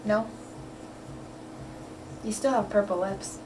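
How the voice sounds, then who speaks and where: A woman's voice: mid-range voice, steady tone, slow pace, natural voice. Girl doing a terse, awkward male puppet, noisy recording. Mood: deadpan. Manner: quiet, terse, hesitant. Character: reluctant twenty-year-old male puppet, terse, awkward, deadpan. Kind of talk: improvised amateur puppet show. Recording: noisy, amateur home recording.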